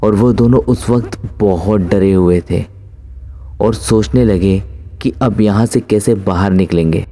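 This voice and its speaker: A man's voice: lowish voice, measured pace, mellow. A man narrating a scripted horror story as its storyteller.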